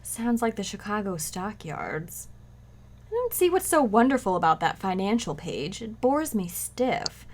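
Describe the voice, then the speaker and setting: A woman's voice: medium pitch, big pitch swings, measured pace. An actress playing a playful, scatterbrained young housewife who teases her husband, in an old-time radio comedy. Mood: teasing. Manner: playful teasing, coy innocent asides.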